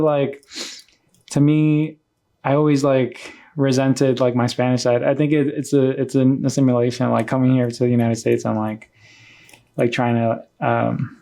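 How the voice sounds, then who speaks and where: Young man, podcast host, mellow dark tone, hesitant, lots of fillers. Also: mid-range voice, little pitch movement, moderate pace. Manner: hesitant, soft-spoken, many fillers. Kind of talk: casual podcast chat.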